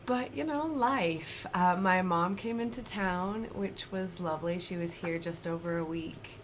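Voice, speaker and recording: A woman in her thirties chatting at home about her stitching, slightly raspy from a cold and laughing often. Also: lowish voice, a little slow, a little hiss or hum. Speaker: cross-stitch vlogger. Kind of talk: casual chat to camera. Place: home, indoors.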